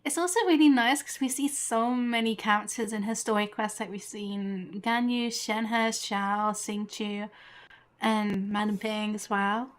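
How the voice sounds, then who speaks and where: A woman's voice: mid-range voice, fairly even intonation, a little slow. A woman giving casual reaction commentary as she streams a game. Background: game music.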